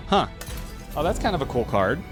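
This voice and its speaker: A man's voice: a little high, sing-song, normal speed, music in the background. A man giving casual reaction commentary as a host, thinking aloud in an informal, exclamatory way.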